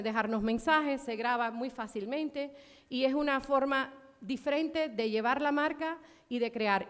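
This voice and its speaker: A woman executive giving a conference presentation, speaking passionately and emphatically, with frequent fillers and restarts. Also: on the high side. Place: conference hall. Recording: long hall reverb.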